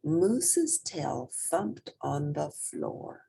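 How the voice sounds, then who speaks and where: Very animated, slow-paced woman storyteller. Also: sits low, gravelly, slightly rough. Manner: expressive, theatrical emphasis. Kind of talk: children's picture book read-aloud.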